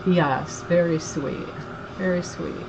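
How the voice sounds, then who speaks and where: A woman's voice: on the low side, slow, vocal fry, noisy background. A woman from Connecticut with a slight Southern twang, hosting a crafting livestream and chatting with viewers while she works. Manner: chatty, reads viewer comments aloud, laughs easily. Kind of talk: casual live crafting chat.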